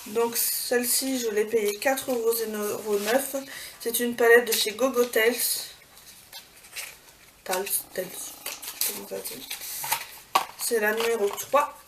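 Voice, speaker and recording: Woman, beauty vlogger, casual haul chat, lots of fillers, fairly high voice, distant mic. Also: fairly even intonation, a little slow, pauses often. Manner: chatty, many fillers and self-corrections. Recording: far from the mic, clear background noise.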